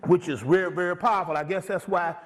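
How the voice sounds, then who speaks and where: High-pitched man lecturing informally. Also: fairly even intonation, fast talker, a little hiss or hum. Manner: emphatic, profane, conversational, often checking listeners follow.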